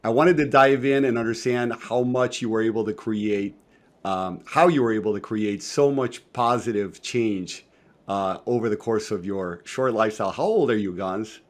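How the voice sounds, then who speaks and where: A man's voice: lowish voice, moderate pace. A man hosting an interview podcast, asking friendly conversational questions. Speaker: podcast host.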